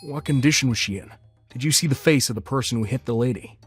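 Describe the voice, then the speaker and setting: Woman putting on a deep, husky policeman. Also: fast talker, faint music behind, exaggerated voice. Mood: questioning. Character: kindly male policeman, grave and businesslike.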